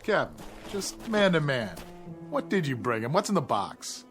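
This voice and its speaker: A deferential crewman with a fairly high, lively voice. He sounds inquisitive, in radio drama style, with music clearly behind him. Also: moderate pace. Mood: curious, confiding. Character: curious, deferential male spaceship crewman.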